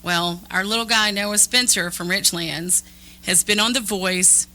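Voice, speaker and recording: A woman's voice: medium pitch, a little slow, sharp tone, poor audio. A woman co-hosting a morning radio show, chatting casually with enthusiasm and emphasis on a close studio mic. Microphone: close broadcast studio mic.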